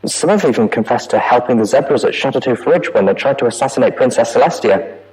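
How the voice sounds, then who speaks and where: A man playing an anguished pony prison inspector recording an audio log, with a wavering, pleading, halting delivery. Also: mid-range voice, lively intonation, darkish tone, close to an everyday voice, rough recording. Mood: torn, worried. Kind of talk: dramatic audio-log monologue.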